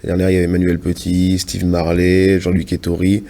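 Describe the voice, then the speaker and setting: Man, low mellow voice, earnest guest. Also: completely flat delivery, creaky. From France. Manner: earnest, halting, restarts often. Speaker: studio guest. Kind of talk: casual TV interview answers. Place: TV studio set.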